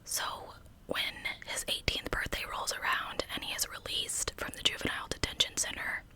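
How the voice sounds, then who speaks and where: A woman telling true crime stories as ASMR in a breathy, unvoiced whisper with a conversational manner. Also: moderate pace. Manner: conversational narration.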